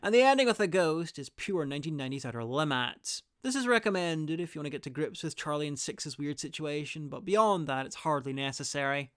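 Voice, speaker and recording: A man's voice: on the high side, expressive, a little fast, few pauses, a bit bright, smooth, no echo. A man narrating a scripted review in an informal, opinionated and wry way, with casual asides. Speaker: reviewer presenting an audio drama guide.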